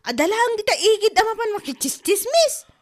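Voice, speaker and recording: A woman's voice: very high voice, sing-song, a little fast. A woman with an Ilocano accent acting a complaining wife in a comedy drama, full of exasperated exclamations.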